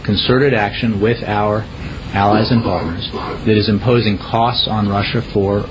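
A male press spokesman answering a reporter in a measured, defensive way. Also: lowish voice, fairly even intonation. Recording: dull archive clip with noise.

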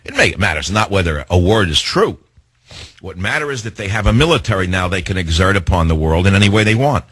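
A man hosting a talk radio show, delivering a sarcastic, indignant monologue with theatrical swings in pitch. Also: animated, fast talker, fairly bright tone, husky, no echo.

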